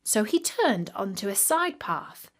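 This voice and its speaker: A woman narrating a scripted fairy tale for children in a careful, expressive manner. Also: medium pitch, a little slow. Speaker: storyteller.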